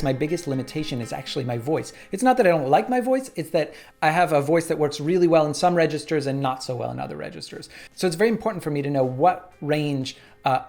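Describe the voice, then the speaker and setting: A man casually explaining his songwriting workflow in a relaxed tutorial, with frequent "uh" and "like". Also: on the high side. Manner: relaxed, lots of "uh" and "like".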